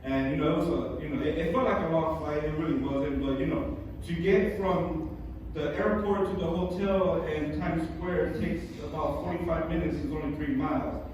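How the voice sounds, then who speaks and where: Brief: middle-aged male comic, chatty and rambling, slightly high voice, recorded from far across an echoey club. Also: steady tone, moderate pace, few pauses, a little mellow. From born in Massachusetts, raised in California. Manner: chatty, rambling delivery with many fillers and restarts. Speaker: stand-up comedian. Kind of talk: stand-up comedy set. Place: comedy club stage. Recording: echoey club room, distant mic, background noise.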